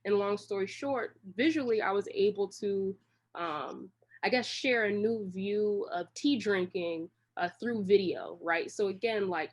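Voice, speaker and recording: A woman's voice: medium pitch, unhurried. A woman from Washington, D.C., giving casual, long-winded answers as a guest in an interview. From Washington, D.C., United States. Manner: long-winded, rambling storytelling. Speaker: interview guest, creative director.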